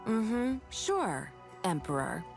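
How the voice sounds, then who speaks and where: An actress playing a cocky, unimpressed mercenary warrior in a video game, with a dry, sardonic, dismissive manner. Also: female voice, medium pitch, sing-song, slow, gravelly, heavily acted. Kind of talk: video game character voice lines. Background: loud music.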